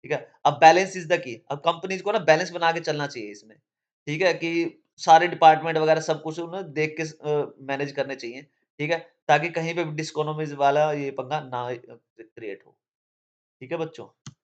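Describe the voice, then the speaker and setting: A man's voice: fairly high pitch, fairly even intonation, normal speed, highs cut off. A male teacher with a sore throat, explaining economics to students in a casual way, often checking in with "theek hai". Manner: casual, repeats "theek hai" between points.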